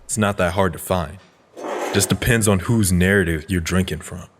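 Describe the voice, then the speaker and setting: An actor playing a calm, community-minded young man in an audio drama, measured, analytical and sincere. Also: deep voice, long reverb tail, slight background noise, lightly acted. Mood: earnest.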